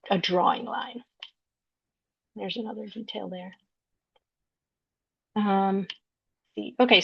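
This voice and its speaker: Woman artist from Peru presenting her work, gravelly fry, muffled dry lo-fi sound. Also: mid-range voice, no echo. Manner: explanatory, unhurried, searching for words. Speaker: visual artist. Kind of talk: artist talk walking through slides of her work. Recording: dull lo-fi recording, dry.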